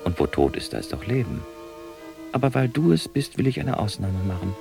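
Very deep, gravelly, grumpy god. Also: male voice, sing-song, brisk, music in the background. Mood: coolly menacing. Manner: pompous, scolding, pronouncing. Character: grumpy, imperious male god of fate, scornful and weary. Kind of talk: radio play acting.

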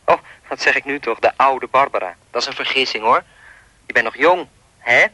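Creepy, insistent anonymous male caller, bright voice. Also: mid-range voice, moderate pace, no echo. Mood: taunting, smug. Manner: insinuating, persistent, needling. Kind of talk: radio play dialogue.

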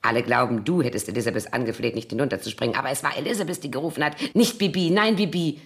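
A woman's voice: rumbling low, very fast. An actress playing a boozy, nervous older woman who is a sly, needling blackmailer in a theatrical 1970s German radio crime drama. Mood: sly, threatening.

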